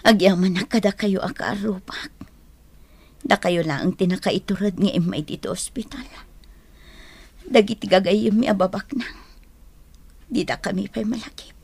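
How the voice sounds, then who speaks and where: A woman's voice: mid-range voice, moderate pace, gravelly, pauses often, a little mellow, slightly rough, dry recording. A Filipino woman acting a wealthy, anxious, demanding wife in an Ilocano radio drama. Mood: weak, grateful.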